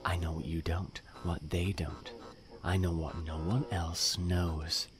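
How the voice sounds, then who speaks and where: An actor playing a deranged, nihilistic serial killer in an audio drama, brooding and menacing in a breathy voice that often drops to a whisper. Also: male voice, low-pitched, flat delivery, background noise. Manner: slow, brooding monologue in clipped phrases. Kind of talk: audio drama villain monologue.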